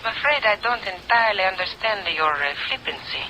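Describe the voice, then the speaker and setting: A haughty countess in an old radio mystery. Her voice is very bright and sharp, with cool, guarded formality. Faint hiss and rain run underneath. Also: mid-range voice, expressive, brisk, rough recording. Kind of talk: old-time radio detective acting.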